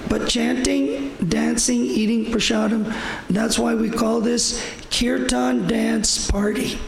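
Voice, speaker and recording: Hoarse older man, high creaky voice. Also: echoey room, slight background noise, low-quality recording. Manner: rambling, repetitive, with fillers. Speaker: visiting speaker at a temple. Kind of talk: informal devotional talk. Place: temple hall.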